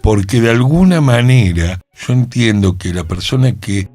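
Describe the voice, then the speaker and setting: A man with an Argentine accent reflecting in a radio conversation, measured, pausing between phrases. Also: medium pitch, expressive, a little mellow. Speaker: interview participant. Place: radio studio.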